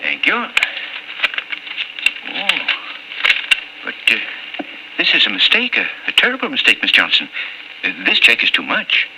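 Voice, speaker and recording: A man's voice: medium pitch, wildly swooping pitch, unhurried, pauses often. A man acting a meek, aging, lonely clerk in an old-time radio drama, hesitant, stammering and pleading. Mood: flustered. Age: older. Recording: hissy old radio recording.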